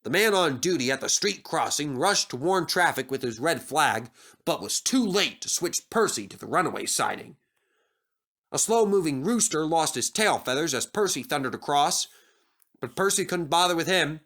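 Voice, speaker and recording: A man's voice: on the high side, expressive, moderate pace, a bit bright. A man reading a scripted children's story aloud as the narrator.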